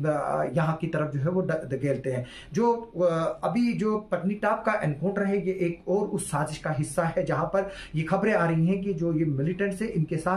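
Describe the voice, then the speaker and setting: A man in his forties delivers news commentary straight to camera, his voice sitting slightly high. He keeps stopping on hesitant fillers as he talks on earnestly. The small room around him rings with echo. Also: darkish tone. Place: small indoor room with curtain backdrop. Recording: echoey room.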